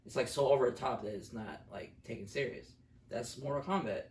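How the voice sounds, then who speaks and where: A man's voice: medium pitch, brisk, a little mellow, slight background noise. A man chatting casually as co-host of a film review, grumbling in an exasperated way. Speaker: co-host of a movie review show. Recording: echoey room, distant from the mic.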